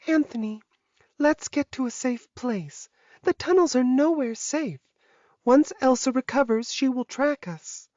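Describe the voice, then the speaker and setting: A woman acts out a devoted female sorceress from a fantasy audiobook. Her voice sits high, with an urgent, earnest edge. The recording is very dry and slightly dull. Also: unhurried, darkish tone, no room sound. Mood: anxious, hurt. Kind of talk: dramatized audiobook dialogue.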